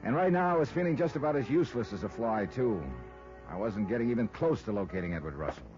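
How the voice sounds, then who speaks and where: Male actor, hard-boiled investigator, American accent, wry, lively inflection, old radio drama, music behind. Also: medium pitch, fast talker, muffled recording, lightly acted. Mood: wry narration. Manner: wry, sardonic. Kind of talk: 1950s radio detective acting, first-person narration.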